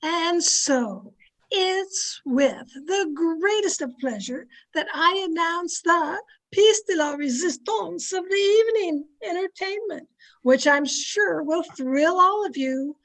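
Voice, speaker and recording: Casting: actress for a bossy, gushing society matron in a radio play, high-pitched, unhurried, grandly affected. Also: female voice, expressive, fairly bright tone, heavily acted, rough recording. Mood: grand, excited. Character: gushing, self-satisfied society hostess, bossy wife. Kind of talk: old-time radio acting.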